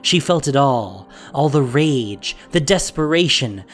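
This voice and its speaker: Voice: mid-range voice, lively intonation, measured pace, faint music behind. A narrator reading a scripted fantasy story in a dramatic audio drama style.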